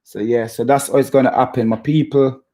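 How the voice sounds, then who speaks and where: A man with a Jamaican accent hosts an informal football fan chat. His voice sits at a medium pitch. He talks in a halting, stop-start way, often repeating a phrase before moving on, as he addresses his viewers directly. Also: steady tone, mellow. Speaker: football fan channel host. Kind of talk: casual chat to live viewers about football.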